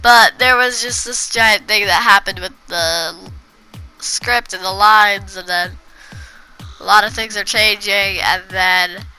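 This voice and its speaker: A woman's voice: mid-range voice, fairly even intonation, slow pace, sharp tone, clean tone, faint music behind, rough recording. A woman with a cold, sounding congested, chatting casually over her gameplay and rambling with frequent restarts. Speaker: gaming video creator.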